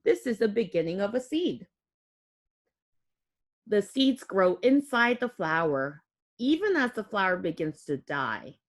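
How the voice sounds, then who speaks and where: A woman reading a picture book aloud to young children like a teacher, expressively, with simple explanatory asides. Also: medium pitch. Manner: expressive, child-directed, with audible gasps and exclamations.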